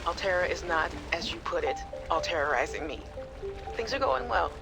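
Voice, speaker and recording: An actress playing an affectionate, playful young scientist, chatting and teasing informally in a video game voice message. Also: female voice, fairly low pitch, expressive, moderate pace, vocal fry, loud music behind the voice. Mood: playful, upbeat.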